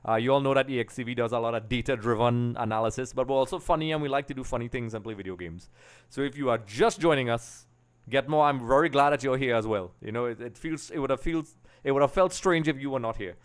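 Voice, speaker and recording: A man's voice: medium pitch, quick pace, clear, resonant tone. A middle-aged man from Trinidad and Tobago, chatting casually to viewers as he hosts a football watch-along in a rambling way. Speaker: livestream host. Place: small home streaming room. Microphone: desk microphone on a boom arm.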